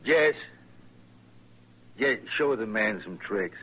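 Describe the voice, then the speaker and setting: Slow-talking, swaggering western outlaw. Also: male voice, fairly low pitch, expressive, slightly rough, exaggerated voice. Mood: menacing, mock-polite. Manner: mock-polite, taunting, folksy drawl-like phrasing. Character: swaggering, menacing Old West outlaw gunman, big talker. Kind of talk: old-time western radio drama acting. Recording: dull old radio recording, crowd murmur.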